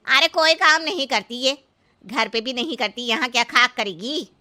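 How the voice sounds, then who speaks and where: Shrill, cheeky old village mother-in-law. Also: normal speed, pushed and bright. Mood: indignant. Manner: literal-minded, scolding, folksy. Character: naive, cheeky elderly village mother-in-law, stubborn and talkative. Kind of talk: exaggerated comic cartoon story acting.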